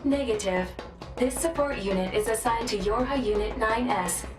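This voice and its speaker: A woman voicing a formal, dutiful robotic support unit in a video game, speaking in measured, emotionless phrases. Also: fairly high pitch, natural voice. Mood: clinical, flat. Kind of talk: scripted game status reporting. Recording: echoey, with music behind.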